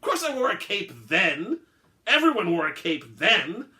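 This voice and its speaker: A man's voice: high-pitched, sing-song, moderate pace, pushed and bright, pitched above the performer's own voice, exaggerated voice. Man reading as a pompous, suave old vampire. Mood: indignant. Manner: formal, pompous, elaborate phrasing. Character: suave, haughty, centuries-old male vampire, easily disgusted. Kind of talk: dramatic reading of an all-dialogue short story.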